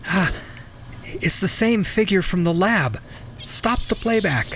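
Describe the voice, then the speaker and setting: An actor playing a grave, shaken but determined scientist with halting delivery in an audio drama. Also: male voice, high-pitched, animated, noisy background, exaggerated voice. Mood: uneasy. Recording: loud background ambience under voice.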